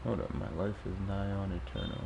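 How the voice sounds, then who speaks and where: A man's voice: low-pitched, steady tone, fairly quick, gravelly. A man giving casual live commentary as he streams a video game. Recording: game audio and noise behind voice. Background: game sound, noise.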